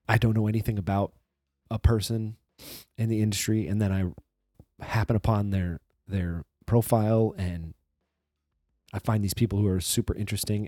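A male podcast host from the restaurant industry, talking casually and rambling with restarts and swearing, his voice a bit hoarse as he recovers from the flu. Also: fairly low pitch, dark tone, no room sound. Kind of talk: casual solo intro monologue.

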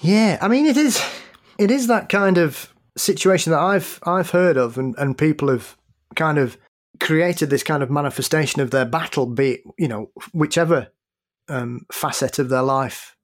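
A man's voice: fairly high pitch, normal speed. A British man, a podcast guest, speaking in a hesitant, reflective way. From United Kingdom. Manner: hesitant, reflective, many restarts. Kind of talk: casual podcast chat.

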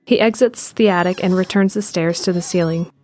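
A woman giving steady scripted narration of an audio drama in the present tense. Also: lowish voice, brisk, soft background music.

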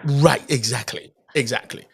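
A man's voice: medium pitch, normal speed, gravelly, a crowd in the background. A man from the Dominican Republic co-hosting a podcast, chatting casually and often repeating words as he starts a thought. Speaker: podcast co-host. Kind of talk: casual conversation.

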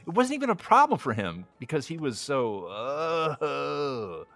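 An evasive young man on a blind date in an audio comedy. He is hesitant and apologetic, stumbling over his words. His pitch moves around in a lively, animated way. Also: a little slow, vocal fry, exaggerated voice. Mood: wistful. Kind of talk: audio drama acting, romantic comedy dialogue.

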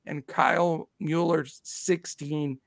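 A man's voice: on the high side, steady tone, slow, gravelly, no room sound. A man hosting a casual sports podcast, rattling off numbers in a chatty way while losing his voice. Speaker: podcast host.

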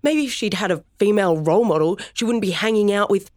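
A woman's voice: low-pitched, moderate pace, a little mellow, dry recording, studio quality. A woman voicing Storm, an angry young man accusing his father, in heated, accusing tones raised above her narrating voice.

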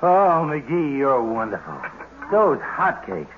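A jovial glutton of a doctor in a vintage radio comedy. The delivery is effusive and hammy, leaping widely in pitch. Heavy noise covers the old recording. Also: male voice, very animated, takes it slowly, narrow, tinny audio. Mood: blissful, stuffed. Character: jovial, gluttonous doctor, the husband's bantering friend. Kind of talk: 1940s radio comedy acting.

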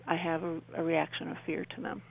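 An older woman, around her sixties, talking as an interview guest in a halting, rambling way and often rephrasing mid-sentence. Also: low voice, flat delivery, vocal fry, poor audio. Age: older, about 60s. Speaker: interview guest, UFO experiencer. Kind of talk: conversational radio interview storytelling.

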